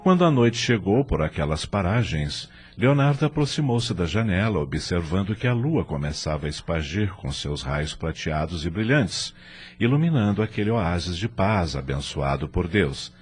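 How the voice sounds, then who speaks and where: Deep-voiced Brazilian male narrator. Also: very animated, moderate pace, husky. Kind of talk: scripted audio drama narration.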